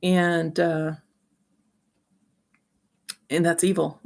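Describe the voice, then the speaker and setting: Woman vlogger, lowish gravelly voice, halting and aggrieved, airing opinions off the cuff. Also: level tone, a little mellow. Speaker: video host. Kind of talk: rambling opinion commentary to camera.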